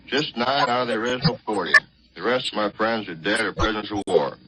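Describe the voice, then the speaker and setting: A man's voice: fairly low pitch, quick pace, heavy background noise. An American man, a military pilot, giving a plain, matter-of-fact account in a 1940s radio interview. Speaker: army fighter pilot, colonel being interviewed. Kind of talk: 1940s radio interview, recounting war experience. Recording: muffled vintage radio with loud crowd behind.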